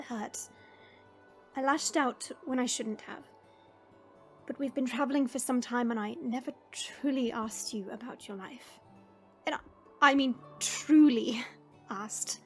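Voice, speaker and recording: A woman playing a gentle dragon princess in an audio roleplay, speaking in a measured, formal and tender way. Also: fairly high pitch. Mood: gentle, remorseful. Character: gentle dragon princess, proud gardener, softly regretful.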